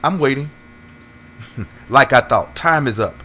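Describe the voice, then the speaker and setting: A man playing an earnest, self-assured senator in an audio drama, smitten and quick to defend himself. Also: medium pitch, animated, sharp tone. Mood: defiant. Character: smitten, self-assured male senator fighting for common people.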